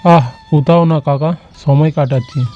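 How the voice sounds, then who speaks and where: A man's voice: a little high, steady tone, moderate pace, lightly acted. A man voicing a lazy, carefree young village man, dismissive and fatalistic, in a cartoon moral story. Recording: dull lo-fi sound, music behind.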